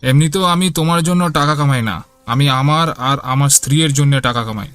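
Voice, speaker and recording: A man's voice: a little high, normal speed, a bit bright, faint music behind, close to an everyday voice. A man playing an irritable, hard-hearted grown son in a cartoon, curt and defiant. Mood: angry, defiant. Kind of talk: cartoon voice acting.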